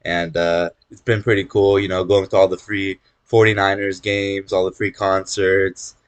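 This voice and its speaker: A young man from California making casual conversation, relaxed, with lots of fillers and restarts. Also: deep voice, normal speed, clear, resonant tone. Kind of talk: casual chat.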